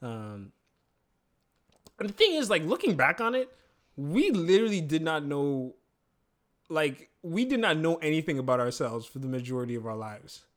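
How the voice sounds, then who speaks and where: A man's voice: a little high, moderate pace, long pauses. A man in his twenties, co-hosting a podcast, telling family stories in casual chat and laughing often. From born in Zambia, family from Congo. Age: 20s. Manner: laughs often, animated storytelling. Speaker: podcast co-host.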